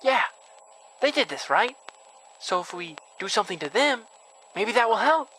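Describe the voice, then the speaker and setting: An actor in a horror audio drama playing a sad, frightened young ghost girl who talks haltingly and repeats things like a child. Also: medium pitch, big pitch swings, sharp tone, slight background noise, poor audio. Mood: hopeful, earnest. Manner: halting, repetitive, childlike speech.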